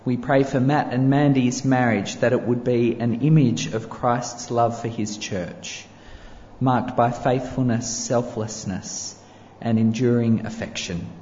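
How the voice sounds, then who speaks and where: A male pastor leading a public prayer in a measured, solemn cadence. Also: mid-range voice, fairly even intonation, darkish tone, slight background noise. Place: church hall. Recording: echoey hall, dull sound, faint ambience.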